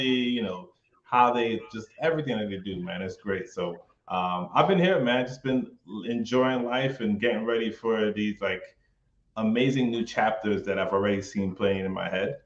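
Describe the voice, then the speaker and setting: A man from New York, a real estate investor, talking casually and energetically with lots of slang as a guest on a livestream. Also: on the low side. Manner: energetic, loose, slangy and friendly. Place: home in Atlanta, echoey room. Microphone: webcam or camera mic on a video call. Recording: echoey, dull video-call audio.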